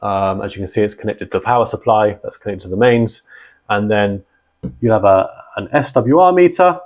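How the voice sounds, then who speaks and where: Low-voiced man explaining radios. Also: moderate pace. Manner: relaxed and conversational, many ums and restarts. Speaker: channel host and founder of a radio community. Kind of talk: casual explainer talk.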